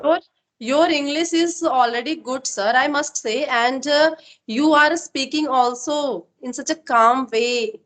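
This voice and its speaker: A woman's voice: sits high, unhurried, a bit bright. A woman hosting a spoken English practice conversation, agreeing often and talking with a few fillers. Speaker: host of English practice show.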